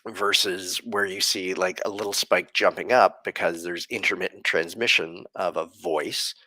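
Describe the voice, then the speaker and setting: A man hosting a podcast live stream, explaining things casually in a halting, thoughtful way with frequent pauses. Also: low voice, steady tone, sharp tone, dry recording. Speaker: podcast host.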